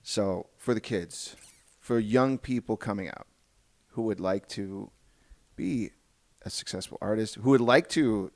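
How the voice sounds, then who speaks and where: A man's voice: lowish voice, no echo. A man hosting a casual podcast interview, encouraging and repeating himself as he feels out his phrasing. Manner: encouraging, repetitive, halting phrasing.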